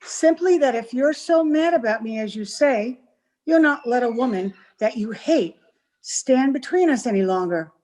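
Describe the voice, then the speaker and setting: Woman acting high-voiced, scheming young temptress. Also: lively intonation, a little mellow, rough recording. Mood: taunting. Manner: taunting, deliberate. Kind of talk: old-time radio melodrama acting. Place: remote recording over Zoom.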